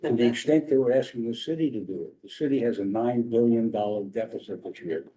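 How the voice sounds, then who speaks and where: A man's voice: mid-range voice, fairly quick, mellow, muffled recording. A man on a housing committee arguing his point bluntly during a debate. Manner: blunt, argumentative. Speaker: committee member. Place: community meeting room.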